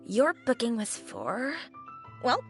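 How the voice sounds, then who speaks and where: A woman's voice: a little high, wildly swooping pitch, slow, exaggerated voice. A woman voicing an eager, welcoming female eatery owner in a video game, polite and upbeat. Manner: polite, upbeat, service-minded. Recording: music behind the voice.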